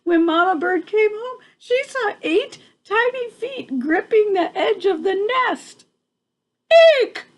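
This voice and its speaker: An older woman reading a picture book aloud to a child, expressive, with casual asides and exclamations. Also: extremely high pitch, takes it slowly, few pauses.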